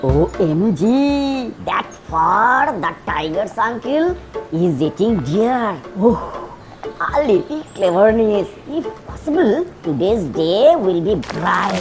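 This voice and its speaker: A woman's voice: medium pitch, big pitch swings, slow pace. A woman voicing a sly, cheeky, hungry fox in a children's cartoon, theatrical and scheming. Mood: sly, delighted. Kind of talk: children's cartoon character dialogue. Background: music behind the voice.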